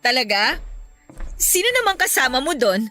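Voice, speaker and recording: A woman's voice: high voice, very animated, normal speed, bright, forceful tone, heavily acted. A woman playing a heartbroken, long-suffering young girlfriend in a radio drama, in an emotional, pleading manner. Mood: low, suspicious. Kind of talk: Tagalog radio drama acting. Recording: music behind the voice.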